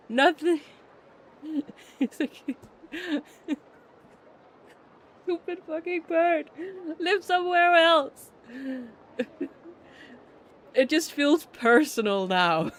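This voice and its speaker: A streamer chatting casually in a rambling, giggly, expressive way. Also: high voice, extremely slow, pauses often, slight background noise. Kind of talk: casual livestream chat.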